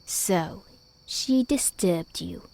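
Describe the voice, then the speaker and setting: Sly, slow-spoken young boy. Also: on the low side, expressive, creaky, dark tone, dry recording, exaggerated voice. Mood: sly confession. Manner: teasing, deliberate, polite. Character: sly, precocious, charming young boy in a ghost story. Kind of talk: gothic audio drama acting.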